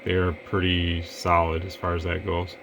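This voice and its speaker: Very low, gravelly man. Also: moderate pace, very noisy. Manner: relaxed, rambling, with mic checks and counting. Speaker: radio shop owner testing gear. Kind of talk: casual product demo.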